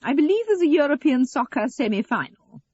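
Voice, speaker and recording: A woman's voice: high-pitched, very animated, a little fast, darkish tone, no echo, dull audio, pitched above the performer's own voice. A woman voicing a righteous older mother in an audio story, prim, self-pitying and cutting. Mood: martyred.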